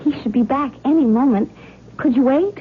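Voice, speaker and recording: A woman's voice: high voice, normal speed, natural voice. An actress playing an earnest young woman posing as a lawyer's secretary in a radio drama, polite and easily flustered. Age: young adult. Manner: polite, flustered, eager to please. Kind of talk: old-time radio drama acting. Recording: muffled vintage radio with faint noise.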